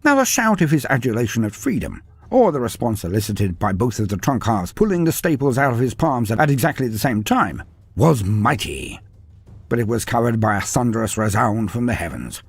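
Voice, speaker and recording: A man's voice: medium pitch, big pitch swings, a little fast, breathy edge, dry recording. A man reading a fantasy story aloud with a dramatic delivery, his voice rising and falling through the telling. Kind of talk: scripted fantasy story narration.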